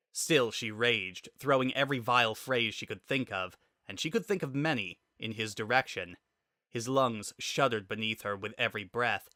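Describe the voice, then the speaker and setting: A man narrating a scripted story in a dramatic, expressive and deliberate way. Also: medium pitch, no room sound, studio quality.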